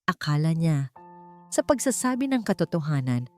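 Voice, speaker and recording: A Filipino-accented woman narrates a dramatic audiobook story. Her voice sits somewhat low, and soft music plays behind her scripted delivery. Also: very animated, moderate pace.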